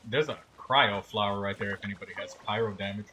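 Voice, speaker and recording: A man gives casual video game commentary in a bright, forceful voice. He talks trash with plenty of swearing and excitement. Faint noise and clicking sit behind him. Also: on the low side, moderate pace, muffled recording. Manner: profane, excitable trash talk. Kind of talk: casual live gameplay commentary.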